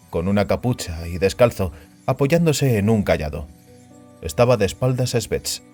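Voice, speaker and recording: Male narrator for scripted audio fiction, lower register, light music bed behind the read. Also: darkish tone.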